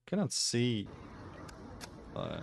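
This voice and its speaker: A man's voice: medium pitch, sing-song, extremely slow, gravelly, slight background noise. A man from Russia, giving casual offhand reaction commentary on a live stream. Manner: offhand remarks, loose grammar.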